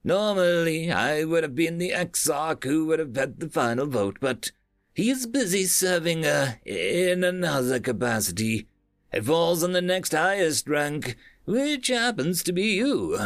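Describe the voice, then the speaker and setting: Casting: man as blunt, wary shipboard officer, terse lines, lively higher register, sci-fi storybook. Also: measured pace, no echo, clean studio sound, soft background music. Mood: blunt, cautious. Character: Kirk, blunt, cautious male officer aboard alien ship.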